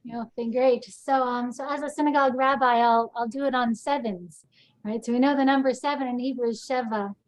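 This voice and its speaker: A woman rabbi talks in a lively, chatty way over a video call. Her voice sits a little high. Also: fairly quick, low-quality recording. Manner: lively, chatty, sings snatches of song. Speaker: synagogue rabbi. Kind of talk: webinar personal remarks.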